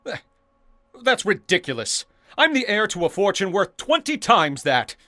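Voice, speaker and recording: An actor playing a spoiled, boastful, hard-drinking rich heir in a radio drama, with a petulant, cocky way of talking. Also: male voice, high-pitched, very animated, moderate pace, pushed and bright, no echo, clean studio sound, full character voice. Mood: flustered, defensive. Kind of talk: Cold War radio drama acting.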